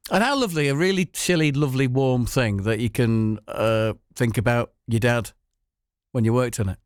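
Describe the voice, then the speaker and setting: A man's voice: mid-range voice, moderate pace, clear, resonant tone, no echo, studio quality. A man hosting a casual, chummy radio interview. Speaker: radio host. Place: radio studio.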